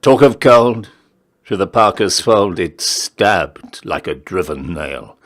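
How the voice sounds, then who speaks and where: A man reciting a narrative ballad in a measured, theatrical storytelling style with deliberate pauses. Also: sits low, lively intonation, fairly bright tone. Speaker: poetry reader, town crier.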